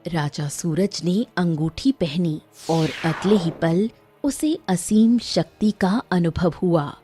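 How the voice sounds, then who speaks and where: A woman narrator giving dramatic scripted narration for a cartoon story, in a husky, dark voice. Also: fairly low pitch, slow pace, soft background music.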